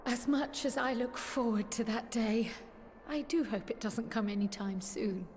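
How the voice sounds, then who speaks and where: A gentle, polite young female adventurer from a fantasy game played by a woman. Her voice is fairly high, with faint noise behind it. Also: measured pace, natural voice. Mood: gentle concern. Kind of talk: video game cutscene acting.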